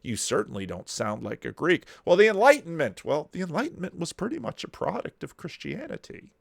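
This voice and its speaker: A man commenting on a video clip, talking in animated rhetorical questions with slow, deliberate emphasis. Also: fairly high pitch, gravelly, dry recording.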